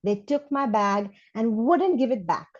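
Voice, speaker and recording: A resentful but curious schoolchild played by a woman reader candidly complains to a new classmate in storybook letters. The delivery is expressive and the recording is slightly muffled. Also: a little high, a little slow. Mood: upset, resentful. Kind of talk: read-aloud children's storybook.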